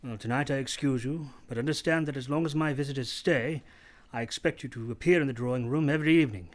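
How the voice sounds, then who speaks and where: An actor playing a commanding, brooding gentleman past forty in a radio play, with an imperious, brusque manner. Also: medium pitch, quick pace, close to an everyday voice.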